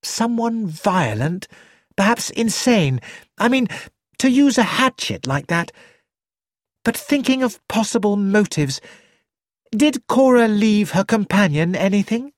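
A narrator voicing an energetic, practical young woman who reasons thoughtfully, in a dry studio recording. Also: medium pitch, no echo, voice pushed up. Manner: brisk, thoughtful.

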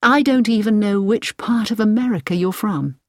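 A woman's voice: medium pitch, moderate pace, no echo, studio quality, pitched above the performer's own voice, natural voice. A woman playing an uneasy but strong-minded woman traveler in a storybook, earnest and questioning. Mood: puzzled.

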